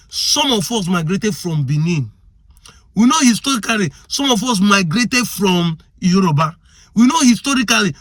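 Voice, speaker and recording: A man's voice: high-pitched, fairly bright tone, no room sound. A man with a Nigerian accent giving impassioned commentary, arguing hard and firing off rhetorical questions. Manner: argumentative, fires rhetorical questions.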